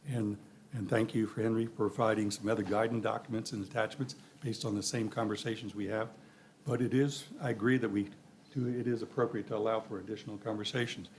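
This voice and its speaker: A man's voice: lowish voice, fairly even intonation, quick pace, a little mellow, a little hiss or hum. A man chairing a planning commission meeting, speaking informally and tripping over his words now and then. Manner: informal, runs the clock, stumbles over words.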